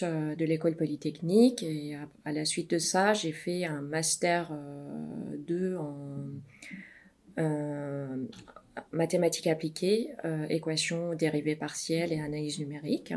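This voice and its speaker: Brief: middle-aged woman from Iran, slightly low voice, thoughtful, halting answers full of fillers. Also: fairly even intonation, darkish tone. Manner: many filler pauses, thoughtful. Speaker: research mathematician, interviewee. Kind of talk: hesitant interview answers.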